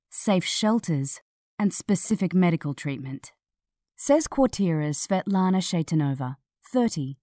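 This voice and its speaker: Mellow woman reading a news article. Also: fairly low pitch, no echo, studio quality. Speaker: article narrator. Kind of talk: scripted news article narration.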